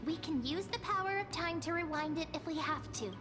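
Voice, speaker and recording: Very high, chirpy tiny sprite. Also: female voice, a little fast, over music. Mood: eager, reassuring. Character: tiny perky reassuring magical sprite companion. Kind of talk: cute video game voice acting.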